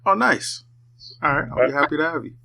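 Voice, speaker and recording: A man who creates horror audio stories, chatting softly and hesitantly as a guest on a live stream over a video call. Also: a little high, lively intonation, brisk, vocal fry. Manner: soft-spoken, hesitant with many ums. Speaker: horror storyteller and show creator.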